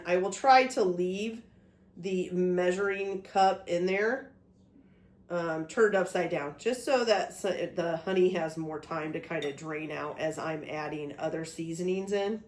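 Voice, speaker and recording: A woman's voice: lowish voice, unhurried, darkish tone. Woman, getting over a cold, chatty cooking host, step-by-step explaining, echoey kitchen. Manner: chatty, talks through each step, frequent "okay?" check-ins. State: recovering from a cold, voice a bit off. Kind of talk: casual cooking demonstration. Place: home kitchen.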